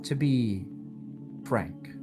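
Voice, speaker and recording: A man's voice: medium pitch, animated. Man playing a solemn, cryptic mysterious woman. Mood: solemn, foreboding. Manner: formal, measured, cryptic phrasing. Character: mysterious woman, solemn, cryptic, sympathetic bearer of fateful gifts. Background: guitar music.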